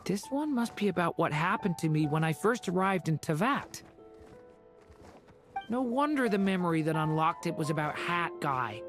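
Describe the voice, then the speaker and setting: A man voicing a gentle young dragon in human form for a video game, polite and earnest. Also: a little high, sing-song, normal speed, soft background music.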